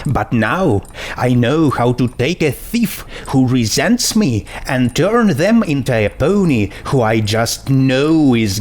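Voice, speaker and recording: A man's voice: a little high, pitched above the performer's own voice, heavily acted. A man voicing a self-righteous young female pony princess in a storybook reading, earnest, gushing and pedantic. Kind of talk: storybook character voice in fan fiction reading.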